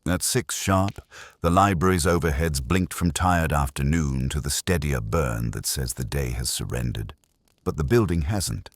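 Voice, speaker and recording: A man narrating first-person detective fiction in a measured, dry, wry storytelling manner. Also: very deep voice, animated, no echo. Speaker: audiobook narrator.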